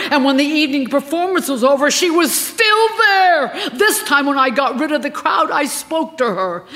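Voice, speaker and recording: A woman's voice: sits high, a bit bright, pitched above the performer's own voice. A woman voicing an imperious, dramatic famous stage actress who talks in a sulky, indignant way. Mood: excited. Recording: echoey reverberant room.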